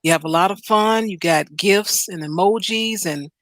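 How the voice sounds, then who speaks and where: A woman's voice: medium pitch, moderate pace, a bit bright, dry recording. A woman hosting a livestream who thanks her viewers and signs off in an upbeat way. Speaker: livestream host. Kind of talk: casual livestream sign-off.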